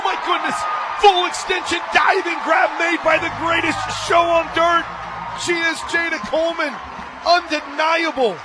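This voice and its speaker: A higher-voiced American man works as a radio play-by-play announcer at a softball game. He moves between chatty asides and excited calls, with clear outdoor ballpark noise behind him. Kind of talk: live softball radio commentary. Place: open radio booth at a ballpark. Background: ballpark ambience.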